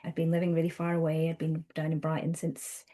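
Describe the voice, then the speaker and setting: A Scottish woman in her thirties speaks as a podcast guest, her voice sitting on the low side. She talks in a chatty, lively way, restarting phrases and acting out what others said. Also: fast, slight background noise. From Scotland. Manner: chatty, animated, with restarts and acted-out quotes. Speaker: guest counsellor. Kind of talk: conversational podcast interview.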